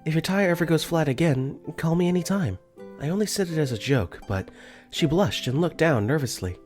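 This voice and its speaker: Man doing a wry easygoing teenage boy, first-person narration, lively varied pitch, joking asides, faint guitar music behind. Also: brisk, close to an everyday voice. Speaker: voice actor. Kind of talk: first-person visual novel narration and dialogue.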